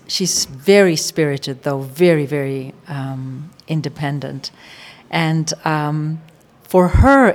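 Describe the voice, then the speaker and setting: A woman from Kenya, a theatre actor, talking thoughtfully about her role. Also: low-pitched. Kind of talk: interview.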